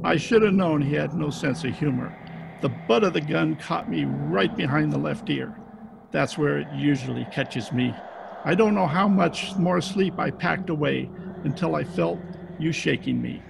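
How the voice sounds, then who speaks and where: An actor playing a wry, deadpan private detective who narrates in 1940s radio style with a gravelly voice. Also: male voice, a little high, moderate pace, background noise. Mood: wry narration. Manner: deadpan, wisecracking. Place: home, recorded over video call.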